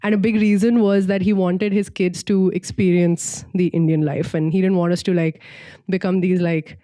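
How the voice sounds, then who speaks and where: A woman's voice: lowish voice, little pitch movement, moderate pace, warm tone, no echo. A young woman from Singapore chatting casually and reflectively as a podcast co-host, in an informal way peppered with "like".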